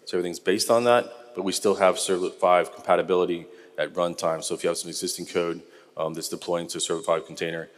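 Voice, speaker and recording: A middle-aged American man giving a technical conference talk in a relaxed, conversational way with frequent ums. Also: low voice, long reverb tail. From United States. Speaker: conference speaker. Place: large conference hall.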